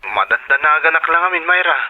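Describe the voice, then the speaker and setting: A man's voice: a little high, expressive, quick pace, phone-quality sound. A man playing an anxious, apologetic husband and migrant worker in a radio drama, with an Ilocano accent and earnest, emotive delivery. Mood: worried. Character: Robert, an anxious husband working overseas, apologetic.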